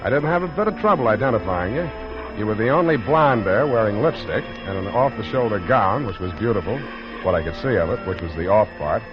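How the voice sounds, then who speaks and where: An actor playing a wisecracking hardboiled private detective, wry and deadpan, in 1940s radio style. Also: male voice, on the low side, a little fast, lightly acted. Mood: wry, breezy. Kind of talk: 1940s radio acting with narration. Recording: muffled 1940s radio recording, noisy music.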